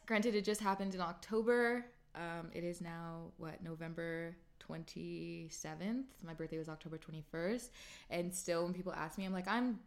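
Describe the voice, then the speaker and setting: Chatty woman in her late twenties. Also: lowish voice. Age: 20s. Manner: chatty and upbeat, animated when quoting herself. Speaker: podcast host. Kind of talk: casual solo Q&A podcast.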